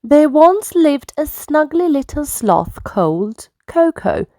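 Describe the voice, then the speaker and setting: A woman reading bedtime stories for children in an expressive, theatrical way with deliberate phrasing. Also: sits high, dry recording, studio quality. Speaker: children's story reader. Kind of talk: expressive bedtime storybook narration.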